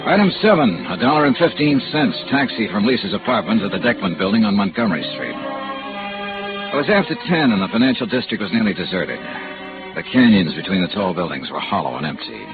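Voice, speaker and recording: A dull vintage radio recording, music behind, carries a hardboiled insurance investigator. His voice is low and swings expressively between terse questioning and brooding noir narration. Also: very animated, fairly quick.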